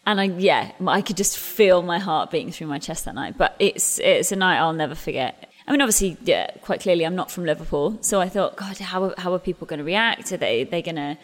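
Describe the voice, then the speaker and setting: A woman's voice: lowish voice, animated, a little fast, hardly any pauses, big-room sound. A woman in her thirties, an English stage actress, talking chattily about her career in an interview, often restarting and laughing at herself. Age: 30s. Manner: chatty, self-deprecating, frequent restarts and laughs. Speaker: musical theatre actress. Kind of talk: interview conversation.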